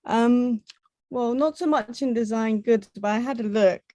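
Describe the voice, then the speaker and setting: A woman's voice: a little high, a little slow, warm tone, no room sound. A woman, a design researcher, answering a panel question carefully and searching for her words.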